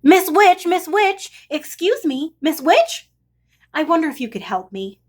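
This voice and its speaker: A woman's voice: extremely high pitch, big pitch swings, unhurried, a little mellow, voice pushed up, heavily acted. A woman voicing a hapless, polite storybook prince who pleads and gets flustered. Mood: polite, pleading. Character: hapless, polite, whiny male fairy-tale frog prince.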